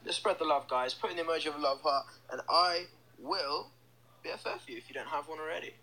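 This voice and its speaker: A man's voice: a little high, quick pace, pushed and bright, low-quality recording. A young man chatting casually on a phone livestream in a small indoor room, upbeat and reading out fan comments. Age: young adult. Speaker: band member hosting a livestream. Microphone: phone held close to face.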